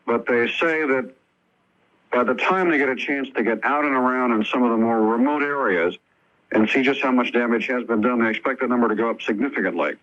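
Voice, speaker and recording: An American man reports the news as a correspondent, speaking in a loose, unscripted way. His pitch is middling, and the radio sound is dull and lo-fi. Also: a little fast, fairly bright tone.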